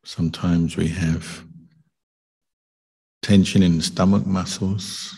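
A man leading a guided meditation as a teacher, speaking calmly and gently with deliberate pauses between short phrases. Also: low-pitched, gravelly, husky. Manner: calm, deliberate, gentle instruction with long pauses. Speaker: Buddhist monk meditation teacher.

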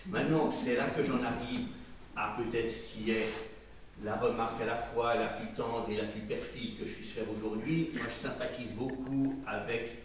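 A man's voice: mid-range voice, fairly even intonation, moderate pace, far from the mic. Male debate speaker, rhetorical and ironic, recorded in a very echoey room with faint noise. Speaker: audience member and journalist reading questions. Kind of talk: public literary debate remarks. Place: small dim event venue with audience.

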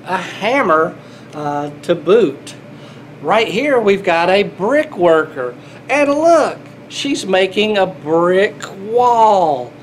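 A man's voice: high-pitched, a little hiss or hum. A middle-aged man reading a children's picture book aloud, playful and exclaiming, talking as if to small children. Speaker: grandfather reading to children, air traffic controller. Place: small indoor airport control room.

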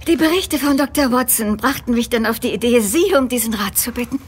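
A woman's voice: a little high, brisk, husky. A young woman playing a proper, earnest, slightly hesitant governess in a German audio drama, speaking courteously and formally.

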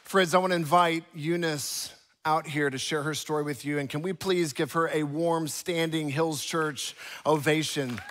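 A man's voice: fairly high pitch, steady tone, normal speed, crowd noise. Male pastor, stage host, headset mic, slight hiss in the room. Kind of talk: service hosting. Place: large church stage.

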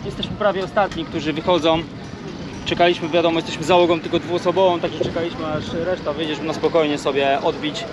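A man's voice: a little high, fast. Chatty man on a sailboat. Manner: chatty, relaxed, filler-heavy. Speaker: sailing vlogger. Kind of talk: casual vlog narration. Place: outdoors on a sailboat at a lake marina. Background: loud background music.

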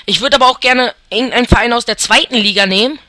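A man's voice: extremely high pitch, fast talker, bright, forceful tone, no room sound. A young man from Germany giving casual, rambling commentary over a football video game as he thinks aloud and chuckles. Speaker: let's player.

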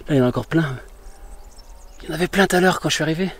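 Excitable French man vlogging outdoors. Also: a little high, rapid-fire, slightly rough. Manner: excited exclamations, thinking aloud. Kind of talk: casual travel vlog commentary. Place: outdoors on a mountain trail. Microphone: action camera or smartphone.

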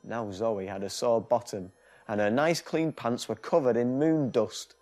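A British man in his thirties reading a children's bedtime story in a gentle, deliberate, expressive storytelling style. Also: mid-range voice, soft background music. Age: 30s. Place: TV studio set.

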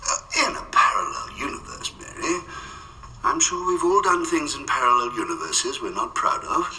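An actor in a radio drama playing an urbane, drily amused senior officer with a polite, arch, formal manner. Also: male voice, on the high side, normal speed, sharp tone, echoey room, a little hiss or hum, poor audio.

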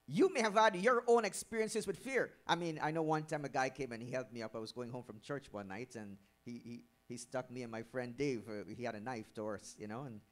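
A man's voice: medium pitch, a little fast, hardly any pauses. A middle-aged man from Guyana, preaching a sermon into a handheld mic in a church hall, stressing key words, repeating them and asking the congregation questions. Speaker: pastor. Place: church hall with curtained stage. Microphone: handheld microphone.

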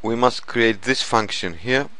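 A man narrating an informal software tutorial in a halting, step-by-step way. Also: medium pitch, clean tone, dry recording. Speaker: programming tutorial presenter.

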